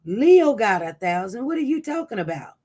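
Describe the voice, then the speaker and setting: A live-stream news host in casual commentary, reading viewer chat aloud and reacting with sudden jumps in pitch and loudness, rambling with frequent restarts. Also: normal speed. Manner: animated, voice jumps up loud when reacting to chat.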